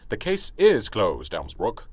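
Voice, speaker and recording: A man's voice: fairly low pitch, no room sound, full character voice. A man playing an older, weary but self-assured small-town police sergeant, speaking emphatically in an amateur radio drama.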